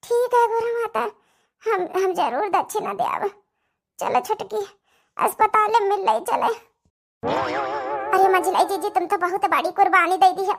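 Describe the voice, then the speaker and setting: A woman's voice: extremely high pitch, animated, a little slow, vocal fry, pauses often, full character voice. A woman voicing a remorseful young daughter-in-law in a cartoon melodrama, pleading tearfully with an Awadhi accent. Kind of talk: cartoon family melodrama acting.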